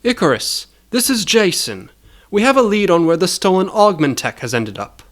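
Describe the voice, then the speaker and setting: A man's voice: sits high, big pitch swings, moderate pace, voice pushed up. A man voicing an officious, ruthless male handler of a hired assassin in an audio story, businesslike and scornful.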